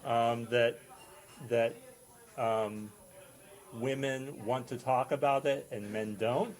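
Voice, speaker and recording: A man's voice: medium pitch, fairly even intonation, slow pace. Male quiz contestant, mid-range voice, hesitant and casual in his answers.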